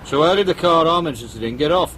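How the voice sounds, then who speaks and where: A British actor playing a hard-bitten, bullying police detective in a radio drama, questioning bluntly and sardonically. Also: male voice, fairly high pitch, lively intonation, fast, a bit bright, a little hiss or hum, poor audio. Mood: hard, threatening. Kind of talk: broadcast radio drama acting.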